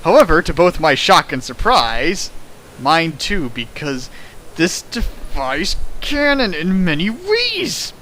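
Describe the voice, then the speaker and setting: A young man reading a fan fiction aloud and riffing on it, with big comic swings of pitch. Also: slow pace, slight background noise, poor audio. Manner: animated, jumps in pitch for jokes and sound effects. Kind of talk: comedic dramatic reading with riffing.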